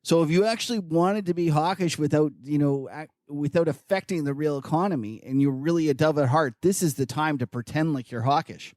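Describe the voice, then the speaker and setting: A man in his fifties co-hosts a podcast on markets, with a voice a bit higher than most men's. He talks casually, cutting in with jokes and asides. The recording is dry and close. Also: brisk, few pauses, a little mellow, dry recording. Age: 50s. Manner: jokey, talks over guests to add points. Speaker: podcast co-host.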